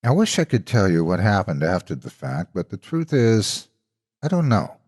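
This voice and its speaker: Male horror narrator, very low register, dramatic scripted storytelling delivery. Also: big pitch swings, normal speed, a little mellow.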